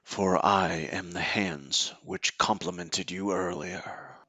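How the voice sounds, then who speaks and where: A man acts out a mysterious theatrical villain in a drama. The voice is very low and drawn out, with a dry, close sound. He taunts pompously, full of menace. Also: steady tone, slow, pushed and bright, no room sound, full character voice. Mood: sinister taunting.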